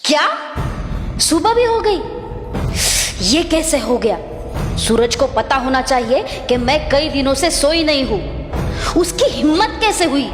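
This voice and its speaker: A woman's voice: sits high, big pitch swings, slow, pauses often, heavily acted. A woman playing a haughty, power-hungry fisherman's wife, imperious and petulant, in a children's cartoon. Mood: angry, demanding. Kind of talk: children's cartoon dub acting. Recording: echoey long reverb, music behind.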